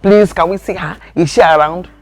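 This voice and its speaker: A woman's voice: fairly low pitch, brisk, close to an everyday voice. An actress playing a dramatic, teasing female best friend in a comedy skit. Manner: teasing, dramatic, animated outbursts.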